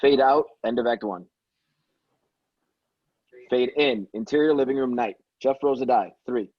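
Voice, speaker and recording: A man's voice: mid-range voice, unhurried, no room sound. A man reading stage directions as the narrator of a scripted table read. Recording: dull, slightly lo-fi sound.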